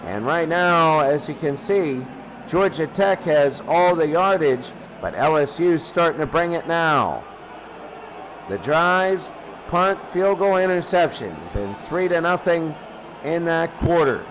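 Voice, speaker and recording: Male sports announcer, high voice, live play-by-play, emphatic repeated phrases, faint background noise. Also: animated, takes it slowly, fairly bright tone, rough recording.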